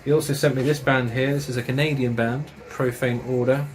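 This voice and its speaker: A man's voice: medium pitch, fairly even intonation, brisk, music in the background. A man casually reviewing metal records and tapes, enthusiastic and chatty, with slang and swearing.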